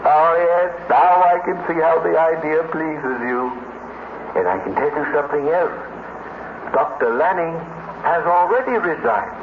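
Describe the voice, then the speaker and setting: A man acting as a telepathic robot in a 1950s radio drama, deliberate, theatrical and insinuating. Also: fairly high pitch. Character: telepathic male robot, knowing, insinuating, flattering. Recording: noisy, tinny 1950s radio recording, echoey.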